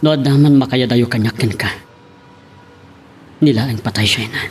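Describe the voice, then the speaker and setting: A man's voice: medium pitch, normal speed, natural voice. A man acting a defiant, devoted young son in love, in an Ilocano romantic radio drama with faint noise behind him. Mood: devoted, reassuring.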